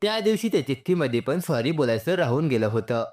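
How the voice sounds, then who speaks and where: A man's voice: a little high, sing-song, fast talker, a little mellow. A man voicing a polite, friendly young man in a story, casual and sincere, mixing in English words. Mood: sincere, apologetic.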